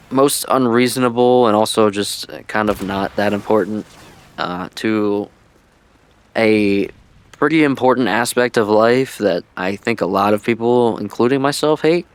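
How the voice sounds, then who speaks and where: A man's voice: lowish voice, smooth. A man giving casual, laid-back gaming vlog commentary in a rambling way, on an old-school headset-style mic. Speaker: video creator and streamer. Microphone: headset-style microphone, deliberately old-school sound.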